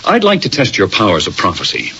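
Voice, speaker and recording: A man's voice: fairly low pitch, wildly swooping pitch, fast, sharp tone, full character voice. An American man acting as a skeptical, challenging character in a radio drama. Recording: muffled old radio with faint hiss.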